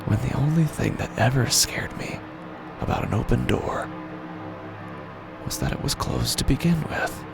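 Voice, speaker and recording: A man playing an unhinged narrator descending into madness in a horror audio drama, speaking slowly and haltingly, often hushed to a whisper over loud music. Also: medium pitch, animated, gravelly, voice pushed up. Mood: manic, eerie revelation.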